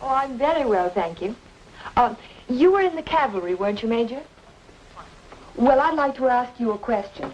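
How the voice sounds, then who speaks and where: An actress playing a polite, inquisitive young heroine, courteous and composed, in an old radio drama. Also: female voice, fairly high pitch, expressive, normal speed, darkish tone, close to an everyday voice. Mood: earnest, polite. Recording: dull old radio recording, faint noise.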